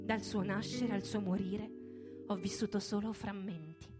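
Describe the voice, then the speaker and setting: A woman giving a theatrical, declamatory reading in deliberate phrases, like a stage performer telling a story. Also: mid-range voice, steady tone. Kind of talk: theatrical dramatic reading over music. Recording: live radio reading with music underneath.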